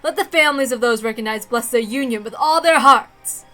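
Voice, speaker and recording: A woman's voice: a little high, a little fast, bright, forceful tone, soft background music. A woman voicing a grand ceremonial lord presiding over a wedding, formal and proclaiming, in a story reading. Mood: solemn, proud.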